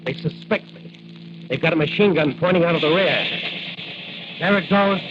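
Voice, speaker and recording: An American actor playing a righteous, commanding masked crime fighter in a 1940s radio adventure serial, declaiming tough talk on an old recording. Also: male voice, fairly high pitch, lo-fi sound, heavy background noise, lightly acted. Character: heroic masked crime fighter, bold, righteous young man.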